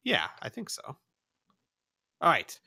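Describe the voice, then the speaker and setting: A man's voice: slow pace, sharp tone, no echo, studio quality. A young man hosting and running a tabletop game, chatting in a rambling, self-deprecating way full of restarts and laughs. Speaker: game master and channel host. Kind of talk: casual chat, running a tabletop session.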